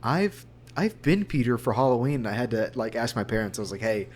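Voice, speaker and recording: A young man chatting casually as an interview guest, rambling and halting in a self-deprecating way. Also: mid-range voice, big pitch swings, a little mellow, a little hiss or hum. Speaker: voice actor guest.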